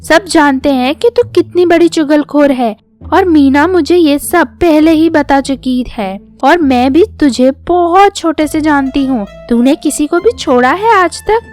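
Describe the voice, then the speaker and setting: A woman's voice: sits high, measured pace, a little mellow, soft background music. A woman voicing an angry young female friend, blunt and accusing, in a storybook tale.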